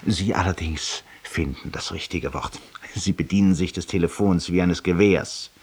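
A self-satisfied middle-aged man confides in a silent listener in a radio drama. His voice is very low and husky. He speaks in polished, theatrical phrases with an urbane, ironic manner. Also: moderate pace. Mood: sardonic. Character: self-satisfied, confessional middle-aged male barroom raconteur.

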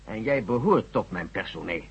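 An actor playing a curt, dutiful army general in a radio drama, speaking in a clipped, authoritative and husky voice. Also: male voice, on the low side, animated, moderate pace, full character voice. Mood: stern. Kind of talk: Dutch radio drama acting. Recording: dull, lo-fi old radio recording.

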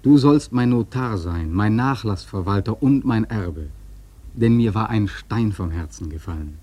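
Husky, intense sailor-narrator, dark-toned. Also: male voice, fairly low pitch, expressive, measured pace. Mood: resolved, relieved. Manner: literary, emphatic, theatrical. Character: intense male sailor recounting a perilous whale hunt. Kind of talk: dramatic first-person radio play narration. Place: dry studio.

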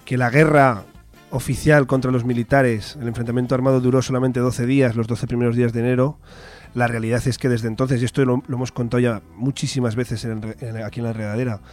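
A man's voice: medium pitch, flat delivery, quick pace, a little mellow. Spanish man hosting radio over music. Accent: Spanish, from Spain. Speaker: radio host. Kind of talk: informal radio commentary. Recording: music behind the voice.

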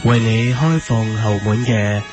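Man as slow, mock-solemn promo announcer. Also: mid-range voice, natural voice. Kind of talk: parody promo jingle. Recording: loud music over the voice.

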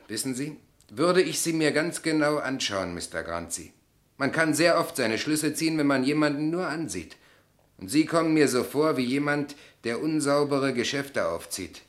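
A man's voice: fairly high pitch, lively intonation, moderate pace, lightly acted. Blunt, indignant young-heir type played by a man. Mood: bluntly defiant. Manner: blunt, plain-spoken, exclamatory. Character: naive, blunt, stubborn male heir, plain-spoken and indignant. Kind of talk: German radio play acting.

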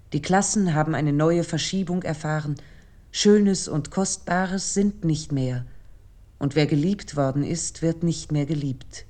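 Female radio play narrator: deep, dark voice, solemn and measured literary delivery.

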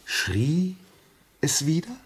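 An actor in a 1950s German radio play voicing a rational, skeptical gentleman, husky and expressive, with a patronizing, questioning manner. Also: fairly high pitch, very slow, heavily acted. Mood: curious, probing. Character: rational, skeptical gentleman visitor, dismissive of ghosts.

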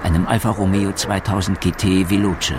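A man's voice: sits low, little pitch movement, moderate pace, background noise, close to an everyday voice. A man as a documentary narrator in a radio play, reading scripted reports soberly and factually.

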